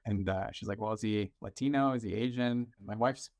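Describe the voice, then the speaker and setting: Thoughtful low-voiced man, dry sound. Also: brisk, darkish tone, dry recording. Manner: thoughtful, hesitant, with restarts. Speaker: conversation partner. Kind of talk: reflective personal conversation.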